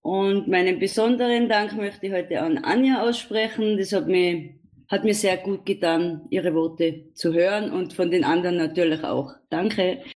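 A woman's voice: lowish voice, normal speed, a little mellow. A woman joining a live online radio show, speaking gratefully and thanking the others. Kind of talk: casual live broadcast chat.

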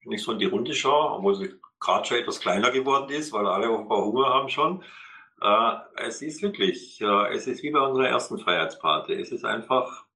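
Bright-voiced man hosting. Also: medium pitch, expressive, a little fast. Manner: rambling, laughs between phrases. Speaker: host. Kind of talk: closing remarks to an audience.

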